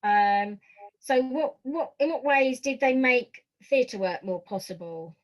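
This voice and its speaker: A woman's voice: a little high, takes it slowly, poor audio. A woman hosting a podcast, asking questions in a conversational interviewing style.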